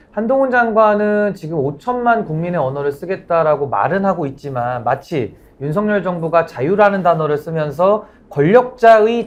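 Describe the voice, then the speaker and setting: A man, a lawyer on a political panel, arguing forcefully and critically. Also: fairly high pitch, lo-fi sound. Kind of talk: political debate commentary.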